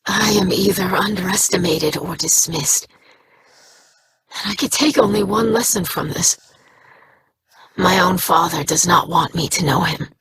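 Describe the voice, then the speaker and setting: A woman's voice: on the low side, measured pace, long pauses, a bit bright, husky, exaggerated voice. An actress playing an earnest young female alien scholar who speaks in stiff, precise, formal diction. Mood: hurt.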